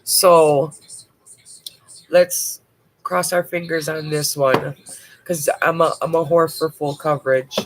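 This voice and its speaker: A woman's voice: low voice, fairly even intonation, slow pace, long pauses, a little hiss or hum, rough recording. A woman with a bad cold reviewing makeup live, chatty and blunt, dropping casual swear words as she goes. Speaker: makeup vlogger. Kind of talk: casual livestream product review.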